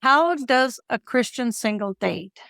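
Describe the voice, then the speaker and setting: A woman with a slightly squeaky voice, chatting on a faith podcast in a wry, self-deprecating way. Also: animated, unhurried, gravelly, a bit bright, no echo, clean studio sound. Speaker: podcast co-host. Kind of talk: casual faith discussion.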